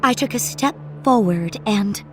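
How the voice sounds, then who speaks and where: A woman's voice: mid-range voice, lively intonation, unhurried. A woman reading a first-person ghost story as scripted audiobook narration. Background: music score under the voice.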